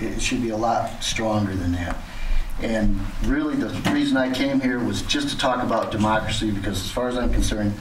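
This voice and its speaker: A plain-spoken, insistent man giving impromptu public comment as a resident at a town meeting. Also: mid-range voice. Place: community center meeting hall. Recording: roomy, distant, noisy meeting-hall recording.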